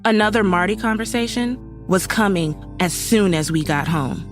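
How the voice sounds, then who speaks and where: A woman's voice: medium pitch, moderate pace, lightly acted. A woman performing suspenseful first-person horror narration as an uneasy young woman recounting a horrifying night. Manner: serious, suspenseful storytelling. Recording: music faintly under the voice.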